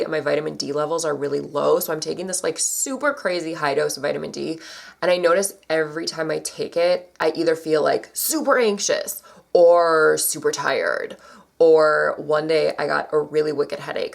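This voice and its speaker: A young woman vlogger chatting casually to her camera, her voice a little scratchy from allergies, with lots of fillers and sudden jumps in pitch. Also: fairly even intonation, fairly quick, gravelly.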